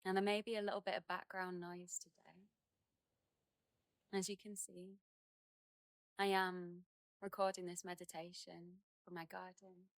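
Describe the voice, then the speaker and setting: A woman guides a meditation in a slightly low voice that barely moves in pitch. Her phrases are gentle and unhurried, with long pauses between them. The sound is dry and close, with hardly any echo, though she is speaking outdoors in a garden. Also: little pitch movement. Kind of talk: guided meditation, slow and soothing instruction.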